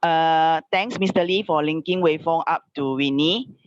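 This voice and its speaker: A woman's voice: deep voice, normal speed, no echo. A woman from Singapore, giving a polite, formal testimony as a guest speaker. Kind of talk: testimony sharing. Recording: dry, dull online call audio.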